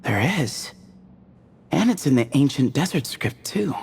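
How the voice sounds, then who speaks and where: An actor voicing a thoughtful, scholarly translator in a video game, solemn and measured. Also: medium pitch, sing-song, vocal fry, darkish tone, big-room sound, slight background noise. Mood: calm.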